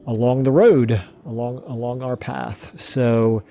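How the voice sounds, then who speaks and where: A man commentating his strategy game play casually, thinking aloud with fillers, his voice going hoarse. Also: mid-range voice, fairly even intonation, takes it slowly, mellow, a little hiss or hum. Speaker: let's play host.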